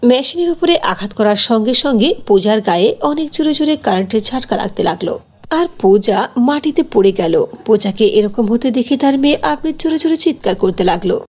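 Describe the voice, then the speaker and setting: A woman's voice: fairly high pitch, expressive, quick pace, darkish tone. A woman narrating a scripted fairy tale as a storyteller.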